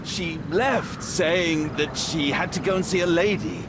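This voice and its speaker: A man's voice: fairly high pitch, unhurried, heavy background noise, lightly acted. A man playing a detective's bewildered, apologetic companion in a video game, hesitant and faltering. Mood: bewildered, dismayed. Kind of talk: dramatic video game acting.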